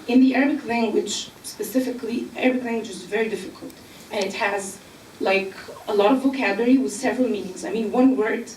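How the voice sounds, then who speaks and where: A woman from Egypt speaking on a panel, explaining earnestly with filler pauses. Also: a little high, darkish tone, far from the mic, slight background noise. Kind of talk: panel discussion. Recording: echoey room, mic from afar.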